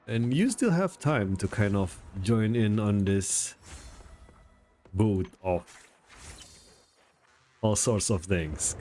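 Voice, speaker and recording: Male game streamer, low register with animated swings, casual and self-deprecating, often groping for words, soft game music underneath. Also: creaky. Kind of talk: casual live stream chat.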